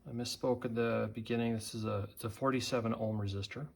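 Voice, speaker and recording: A man giving an unscripted hands-on tutorial, talking haltingly as he thinks aloud while working. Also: medium pitch, steady tone, creaky. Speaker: tutorial presenter.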